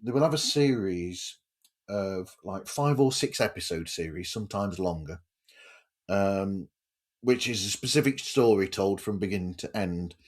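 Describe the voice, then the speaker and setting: A man's voice: deep voice, unhurried. A man hosting a podcast interview in a casual, conversational style.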